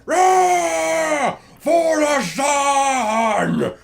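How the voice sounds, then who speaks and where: Man acting as battle-mad warrior, high roaring bellow, shouted war cries, tabletop game. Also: very slow, vocal fry, heavily acted. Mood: battle fury.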